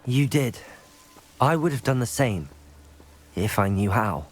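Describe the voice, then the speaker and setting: A man's voice: mid-range voice, expressive. A man voicing a level-headed, supportive young student wizard with a British accent in a video game. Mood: reassuring. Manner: measured, reassuring. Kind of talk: video game cutscene dialogue.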